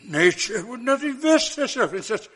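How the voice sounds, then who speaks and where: An actor playing a tormented, jealous male general who breaks into halting, anguished outbursts in a 1980s radio drama. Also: very high-pitched, big pitch swings, a bit bright, exaggerated voice. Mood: broken.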